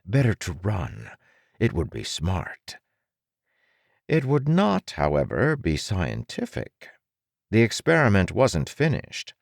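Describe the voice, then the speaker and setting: Male narrator, very low voice, dramatic audiobook reading, measured phrasing, animated intonation. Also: gravelly, no echo, clean studio sound. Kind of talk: scripted dramatic fiction narration.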